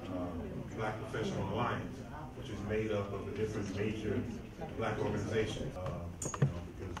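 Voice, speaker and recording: A man's voice: on the low side, level tone, a little fast. A man, a chamber of commerce board member, speaking genially. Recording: very echoey room, loud noise, distant mic.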